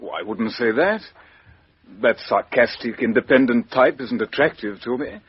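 An actor playing a pompous, careful British politician in a 1950s radio mystery, stiff and self-satisfied. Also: male voice, medium pitch, moderate pace, slightly rough, dry recording. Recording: muffled 1950s radio drama recording.

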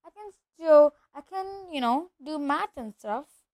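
A woman's voice: high voice, expressive, measured pace, a little mellow, voice pushed up. A woman voicing a curious, modest young boy in a storybook, hesitant and full of questions. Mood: defensive, puzzled.

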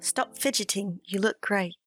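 A woman's voice: medium pitch, normal speed, fairly bright tone, husky, dry recording, soft background music. An actress playing an affectionate female spy partner who teases playfully. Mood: teasing. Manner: playful, teasing.

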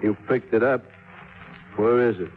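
An actor playing a menacing, impatient robber in a 1950s radio crime drama, curt and threatening. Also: male voice, fairly low pitch, unhurried, natural voice. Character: menacing, impatient male robber hunting stolen money. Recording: tinny narrow 1950s radio recording.

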